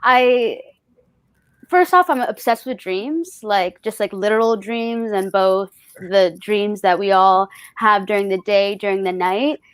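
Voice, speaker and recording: A woman speaking casually and reflectively in an interview, telling personal stories in an expressive way. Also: mid-range voice, a little slow, smooth, no echo. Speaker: festival artist being interviewed.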